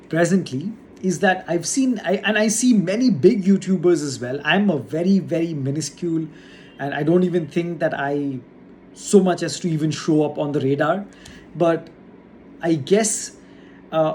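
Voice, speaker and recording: A YouTuber from India talking earnestly and casually to his audience, with a measured pace and frequent "uh" fillers. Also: a little high, a little mellow, a little hiss or hum. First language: not Hindi; English not first noted. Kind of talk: casual talk to camera. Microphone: studio setup.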